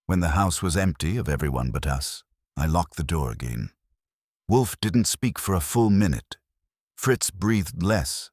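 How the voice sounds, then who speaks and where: A man's voice: low voice, lively intonation, measured pace, a little mellow, no echo, clean studio sound. A man reading a scripted first-person detective story as an audiobook narrator.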